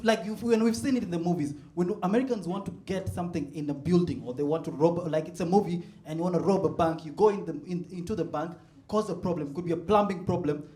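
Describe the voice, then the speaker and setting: A man works a stand-up set in a comedy club. His voice sits fairly high, and he keeps chuckling as he pushes toward loud punchlines. The sound is roomy, like a live club. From South Sudan. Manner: laid-back, chuckles at his own jokes, builds to loud punchlines.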